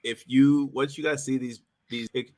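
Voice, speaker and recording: A man's voice: medium pitch, fairly even intonation, normal speed, darkish tone. A man hosting a casual interview, talking in an enthusiastic, slangy way. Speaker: interviewer, show creator. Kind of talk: casual chat.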